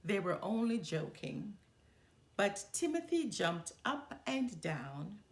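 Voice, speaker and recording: Slow, animated woman reading a storybook. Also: mid-range voice, vocal fry. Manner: animated, deliberate storybook delivery. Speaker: storyteller reading a children's book aloud. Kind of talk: expressive read-aloud narration.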